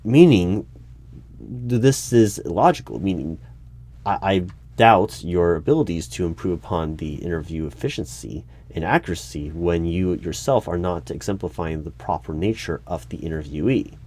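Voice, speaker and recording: Guy doing a fed up hr interviewer, low voice, halting and argumentative, audio roleplay. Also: animated, dark tone. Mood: stern, reasoning. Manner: halting, argumentative, formal wording. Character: exasperated male human-resources hiring manager interviewing a robot. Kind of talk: one-sided audio roleplay.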